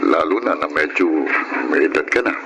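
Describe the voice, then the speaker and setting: An actor playing a blunt, teasing drinking buddy in a radio drama, talking in a familiar, insinuating way. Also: male voice, rumbling low, animated, moderate pace, noisy background.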